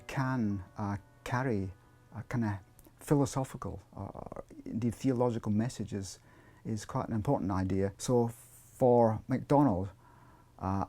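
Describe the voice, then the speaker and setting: A man speaking as an academic expert in an interview, explaining in a measured, scholarly way with hesitant phrasing. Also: lowish voice, dark tone.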